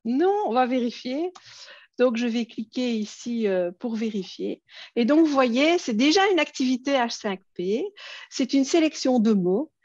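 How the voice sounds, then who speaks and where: A woman's voice: on the high side, expressive, unhurried, a little mellow, no room sound, highs cut off. A woman with a Belgian French accent presenting an online workshop, friendly, with many hesitations and fillers.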